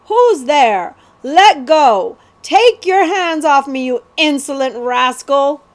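A woman's voice: a little high, animated, unhurried, a little mellow. A woman voicing a haughty, bratty young prince in a storybook, snapping out imperious orders. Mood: indignant.

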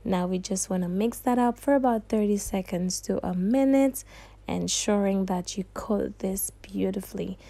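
A woman's voice: medium pitch, normal speed, creaky, mellow. A young woman hosting a cooking tutorial, talking the viewer through each step in a chatty, sassy way and calling them darling and honey. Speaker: cooking channel host.

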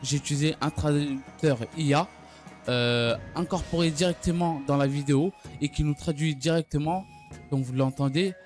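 A man giving an unscripted gaming news recap, speaking haltingly and often stumbling or repeating his phrases. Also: fairly high pitch, a little mellow. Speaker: gaming video creator. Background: background music.

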